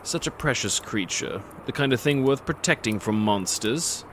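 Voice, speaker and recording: An actor playing a gloating predatory monster who narrates a dark fantasy audio drama in a theatrical, savoring, sardonic manner. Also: male voice, mid-range voice, expressive, moderate pace, a little hiss or hum, lightly acted. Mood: dark, mocking.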